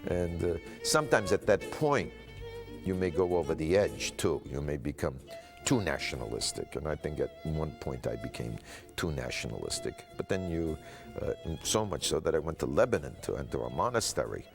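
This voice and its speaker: Male interviewee, American, extremely low creaky voice, emphatic declarative storytelling. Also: wildly swooping pitch, normal speed, over music. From United States. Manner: emphatic, declarative short sentences. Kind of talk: documentary interview, personal storytelling.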